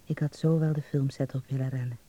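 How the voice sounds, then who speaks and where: A man's voice: mid-range voice, fairly even intonation, fast talker, mellow, muffled recording, natural voice. An actor playing a dreamy young man in a radio drama, confiding intimately in a letter home to his mother. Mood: quietly excited.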